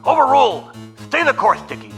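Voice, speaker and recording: A man's voice: extremely high pitch, big pitch swings, a little fast, gravelly, a bit bright, faint music behind, heavily acted. An actor playing a pompous, bossy, nerdy boy mission commander in an audio drama, speaking in formal, wordy phrases. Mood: commanding.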